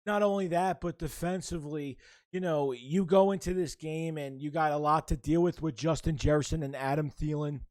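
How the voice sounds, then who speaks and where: Hoarse, excited young man. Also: on the high side, normal speed. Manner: excited, rambling with fillers and restarts. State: hoarse, voice nearly gone. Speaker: sports vlogger. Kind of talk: casual sports talk to camera.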